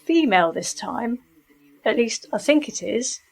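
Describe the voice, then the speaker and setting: An actress as a casual, teasing woman in a radio drama. Her voice sits a little high, and she speaks conversationally with a dry, hedging manner. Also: animated, measured pace, gravelly, heavily acted. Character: teasing woman companion reading out online reviews. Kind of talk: conversational radio play dialogue.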